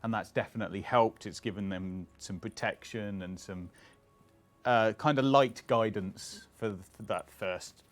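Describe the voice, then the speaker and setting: Relaxed man explaining game design. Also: fairly low pitch, a little slow, faint music behind. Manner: relaxed, explanatory, many "kind of" fillers. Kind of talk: casual interview answers.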